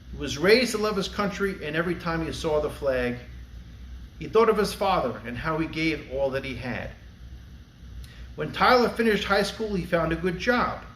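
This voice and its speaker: Higher-voiced man reciting, echoey room. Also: a bit bright. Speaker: librarian reading poems aloud. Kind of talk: poetry recitation with short spoken introductions. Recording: echoey room with faint background noise.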